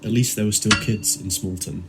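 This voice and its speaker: A man's voice: fairly low pitch, fairly even intonation, moderate pace, poor audio. A man reading a short story aloud as narrator. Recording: voice over loud guitar music. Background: loud plucked guitar music.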